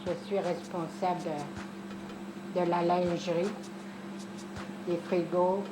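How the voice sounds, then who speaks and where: A woman's voice: on the low side, flat delivery, slow. A woman, a nun who cooks and keeps house, introducing herself in an interview. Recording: echoey room, clear noise.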